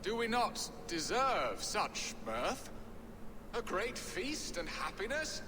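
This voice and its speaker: A jovial middle-aged lord from a medieval video game. His delivery swoops widely in a fairly high male voice, full of flowery flourishes. It rings through a long hall reverb with music underneath. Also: big pitch swings, takes it slowly, noisy background, full character voice. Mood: boisterous, dismissive. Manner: theatrical, flowery wordplay. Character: jovial, florid, unhappily married English lord. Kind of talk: dramatic video game acting.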